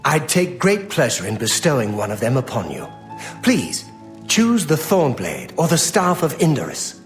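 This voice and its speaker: A man's voice: medium pitch, huge pitch swings, normal speed. An actor playing a gracious middle-aged count and doting father in a fantasy game, speaking formally and eloquently. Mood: gracious, relieved. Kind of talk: fantasy video game acting. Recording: roomy echo, faint music.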